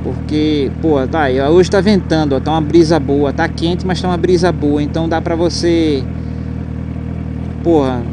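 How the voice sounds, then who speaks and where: A man's voice: fairly high pitch, fairly even intonation, moderate pace. A man from Recife with a Recife accent, chatting casually to viewers while riding a motorcycle, slangy and rambling, with a swear here and there. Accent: Recife Pernambuco Portuguese. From Recife, Northeast Brazil. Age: adult, has a nephew. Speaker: motorcycle vlogger. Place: on a motorcycle on suburban roads outdoors. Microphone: helmet headset mic. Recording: loud wind and road noise from riding. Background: loud wind and traffic noise.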